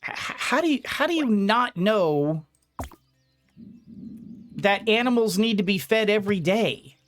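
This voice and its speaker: A woman giving casual video game commentary, halting as she thinks aloud. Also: medium pitch, lively intonation. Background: game music.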